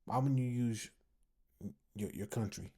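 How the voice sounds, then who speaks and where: A man's voice: mid-range voice, a little slow, mellow, breathy edge. A tired American man giving casual, opinionated commentary as a host, emphatic and repeating phrases for emphasis. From United States, family from Texas. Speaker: host commenting on an online discussion.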